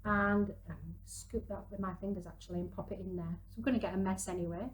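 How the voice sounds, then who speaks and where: A woman's voice: mid-range voice, fairly even intonation, quick pace, a little hiss or hum, low-quality recording. A woman in her thirties chatting instructively through a hands-on activity for parents and young children, working as she talks. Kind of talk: casual craft demonstration for parents and young children.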